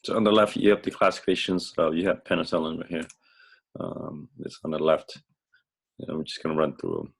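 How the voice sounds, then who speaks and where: Very deep, crackly-voiced man lecturing. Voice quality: crackling, hoarse voice. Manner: halting, frequently self-correcting delivery. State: under the weather, sick. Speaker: host and instructor. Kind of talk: informal screen-share lecture.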